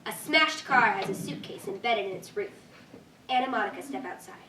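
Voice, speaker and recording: A woman's voice: medium pitch, animated, brisk, bright, forceful tone. A woman reading stage directions as scripted narration at a staged reading. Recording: echoey room, distant mic, faint noise.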